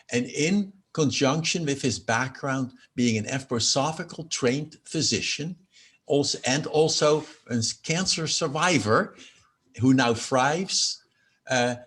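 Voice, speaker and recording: A man's voice: mid-range voice, a bit bright. A man, a professor, introducing a guest speaker to his class with some restarts. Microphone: video call.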